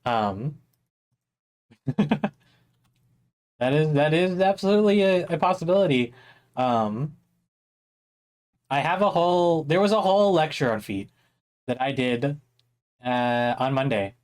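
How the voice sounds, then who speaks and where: A young man with a slightly hoarse voice leads a drawing lesson on a live stream. He talks casually and rambles, filling pauses with ums and small laughs as he explains. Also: on the high side, lively intonation, darkish tone. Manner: chatty, rambling, with many fillers and laughs. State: a little hoarse. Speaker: art instructor streaming a drawing workshop.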